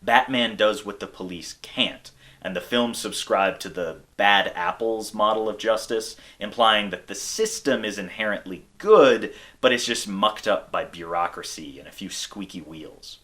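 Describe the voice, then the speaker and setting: Bright-voiced American man narrating, lower pitch. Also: unhurried. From United States. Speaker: video essay host. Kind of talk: scripted narration.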